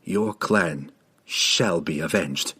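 A man voicing an intense, protective dark elf ranger in a fantasy audiobook, insistent and coaxing. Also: expressive, measured pace, slightly rough, heavily acted. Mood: comforting. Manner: low, insistent, coaxing delivery.

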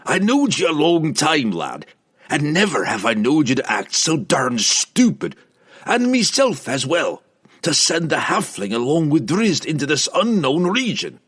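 A man voicing a gruff old dwarf king in a fantasy audiobook, blunt and mocking, with rustic dialect. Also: on the high side, very animated, measured pace, a bit bright, pitched above the performer's own voice, full character voice. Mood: scolding. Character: gruff, angry old dwarf king, fatherly but scolding.

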